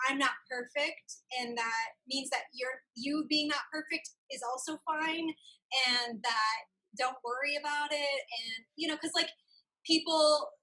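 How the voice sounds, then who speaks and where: Woman hosting a chat show, pretty high bright voice, thinks out loud and rewords stuff as she goes. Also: rough recording. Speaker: interview show host. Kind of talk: casual reflective conversation.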